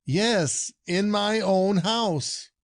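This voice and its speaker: Man playing an indignant wronged husband, higher-pitched. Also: extremely slow, no room sound, studio quality. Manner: indignant, formal declaiming. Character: aggrieved, scheming wronged husband in stage farce. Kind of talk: classic comedy audio play acting.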